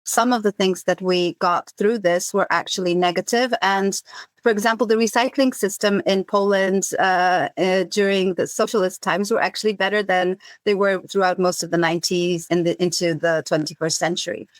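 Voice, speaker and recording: A woman's voice: mid-range voice, fairly even intonation, moderate pace, no room sound. A woman in her forties, raised in Zambia, thoughtfully answering interview questions about her work. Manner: thoughtful, many fillers and restarts. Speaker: podcast guest, campaign coordinator.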